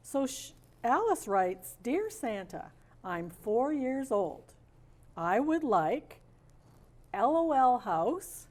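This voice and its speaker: Expressive, slow woman reading a children's story. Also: a little high. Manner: expressive, deliberate, emphatic on story words. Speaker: storyteller presenting as Mrs. Claus. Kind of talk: rhyming storybook read-aloud with casual chat.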